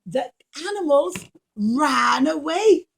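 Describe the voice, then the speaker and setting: A woman's voice: high-pitched, big pitch swings, slow pace, gravelly, slight background noise. A woman telling a story to young children as a puppeteer, explaining and repeating, often checking understanding with little questions.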